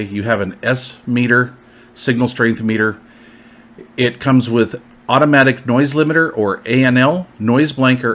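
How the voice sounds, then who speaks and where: A man's voice: medium pitch, a bit bright. Male product reviewer, mid-range voice, informal and plainspoken, walking through features. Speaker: product reviewer presenting gear. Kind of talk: informal product overview, partly listing features.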